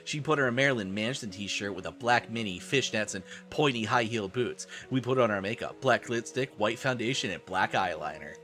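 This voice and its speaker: A man's voice: on the low side, expressive, brisk, few pauses, a bit bright. A man live streaming a dramatic reading of fan fiction, breaking off into exasperated asides.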